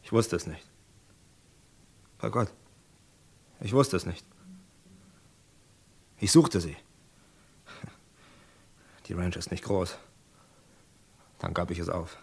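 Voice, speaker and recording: An actor playing a grim, stubborn rancher and gunslinger in a German radio crime drama, speaking in a terse, heavy, deliberate way. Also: male voice, sits low, gravelly, darkish tone, slightly rough, full character voice.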